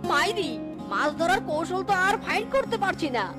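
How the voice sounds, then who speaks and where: An actor playing a sly, excitable male fox who schemes to steal fish, boastful and whiny in a cartoon. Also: extremely high pitch, a little fast. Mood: stubborn. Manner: exclaiming, boastful, whiny when in trouble. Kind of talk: cartoon voice acting. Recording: loud children's music behind.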